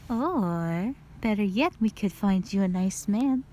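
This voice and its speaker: A woman's voice: medium pitch, lively intonation, slow pace, dark tone, slight background noise. A woman voicing a playful, teasing young female soldier, a bubbly and informal best friend in an audio drama. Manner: playful, informal, whiny when tired. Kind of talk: dramatic audio roleplay acting.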